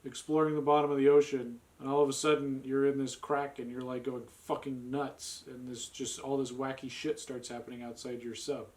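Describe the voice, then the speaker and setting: A man's voice: medium pitch, flat delivery, a little fast, few pauses, darkish tone. A man co-hosting a casual group chat, blunt and profane. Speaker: podcast co-host.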